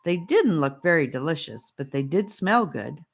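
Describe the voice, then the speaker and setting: A woman with a low voice narrates a children's fantasy story. Her reading is expressive and very animated, moving freely up and down in pitch as an audiobook narrator does. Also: normal speed.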